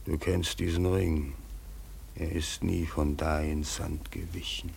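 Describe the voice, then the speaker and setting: An actor playing a solemn, deferential Malay messenger in a German radio drama, gravelly, measured and ceremonious. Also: male voice, a bass voice, level tone, a little mellow, slight background noise, exaggerated voice. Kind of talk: mid-century German radio play acting.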